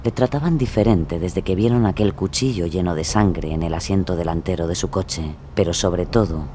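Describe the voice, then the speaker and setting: A man's voice: low-pitched, normal speed, mellow, slight background noise, close to an everyday voice. A man reading scripted, dramatic narration for a crime audio drama. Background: music.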